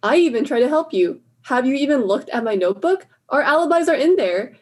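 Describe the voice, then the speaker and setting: A woman's voice: high-pitched, animated, a little fast, a little mellow. A woman playing a defensive, protective spaceship crew member in a comic audio drama, insistent in manner. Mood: indignant.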